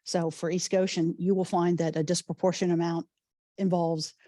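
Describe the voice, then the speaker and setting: A woman police chief, coming down with a cold, giving a measured, explanatory report at a township meeting. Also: on the low side, flat delivery, warm tone, no echo, studio quality.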